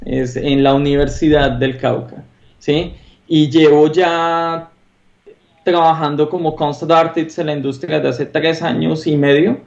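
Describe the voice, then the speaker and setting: A man's voice: on the high side, level tone, a little slow, gravelly, a little mellow, dull audio. A Colombian man in his thirties speaking casually and enthusiastically as an interview guest, emphatic and often tagging phrases with a short questioning check. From Popayán, southern Colombia. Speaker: interview guest, concept artist.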